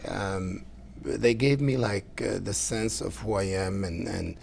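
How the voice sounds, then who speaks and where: A middle-aged man from Lebanon, speaking English, answering interview questions earnestly and haltingly, with many fillers. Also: low-pitched, gravelly, darkish tone, slight background noise. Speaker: guest, council candidate.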